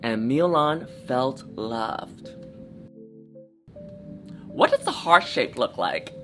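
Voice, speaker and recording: A woman's voice: very deep voice, animated, extremely slow, fairly bright tone, soft background music. A woman reading a children's picture book aloud in a theatrical, encouraging manner, often addressing young listeners directly. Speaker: drag artist storytime reader.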